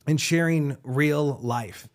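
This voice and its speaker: Upbeat podcast host with higher voice. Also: male voice, slow. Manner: upbeat, laughs often. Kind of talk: interview hosting.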